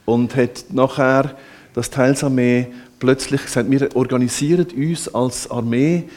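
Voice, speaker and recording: A man with a Swiss German accent, a Salvation Army officer being interviewed during a church service, explaining in a relaxed, slightly rambling way. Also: mid-range voice, measured pace. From Norway and Switzerland.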